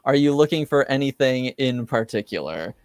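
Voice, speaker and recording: A man running a tabletop roleplaying game as game master, narrating off the cuff in a hesitant, halting way. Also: medium pitch, animated, clear, resonant tone, dry recording, clean studio sound.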